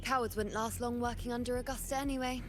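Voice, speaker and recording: A woman's voice: fairly high pitch, fairly even intonation, quick pace, very noisy, lightly acted. An actress playing a confident, playful and brash young warrior woman in a video game. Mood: determined. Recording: loud music behind.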